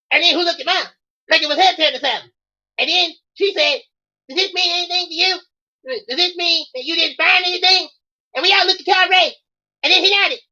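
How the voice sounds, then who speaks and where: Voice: extremely high pitch, bright, forceful tone, muffled recording, voice pushed up, full character voice. A man voicing a whiny, bratty, foul-tempered cartoon boy reading out a story.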